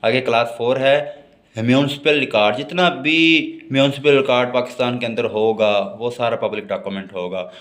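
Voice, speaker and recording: Male law lecturer, Pakistani accent, mid-range voice, explanatory classroom delivery alternating measured reading with quicker explanation. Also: medium pitch. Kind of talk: explanatory lecture mixing Urdu-Hindi and English.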